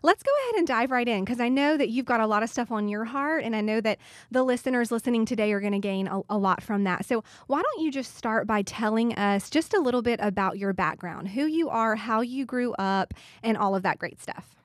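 A woman's voice: fairly high pitch, fairly quick, no echo, clean studio sound. A middle-aged woman pastor hosting a casual interview, upbeat and welcoming. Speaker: pastor and host.

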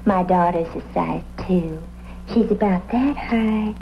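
A woman's voice: lowish voice, takes it slowly, gravelly. An actress playing a serene, eccentric, airy woman witness in an old-time radio drama. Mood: airy, literal. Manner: dreamy, airy, calls everyone "love". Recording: muffled, hissy old radio recording.

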